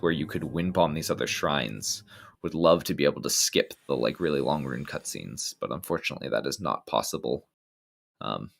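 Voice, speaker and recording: Very deep gravelly male commentator. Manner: relaxed, explanatory, frequent ums and restarts. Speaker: speedrun commentator. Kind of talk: live game commentary.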